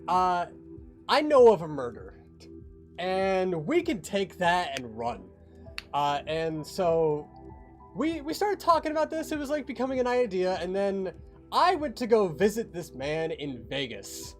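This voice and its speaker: Male streamer, lightish pitch, casual walkthrough delivery, animated and quick to laugh, music bed. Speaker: creator on a behind-the-scenes livestream.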